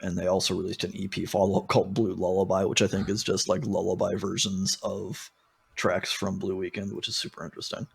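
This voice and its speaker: A man's voice: deep voice, level tone, brisk, vocal fry, husky. A man hosting a podcast in a casual, rambling and informal way, talking through music with frequent asides. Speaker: podcast host. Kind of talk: casual album discussion.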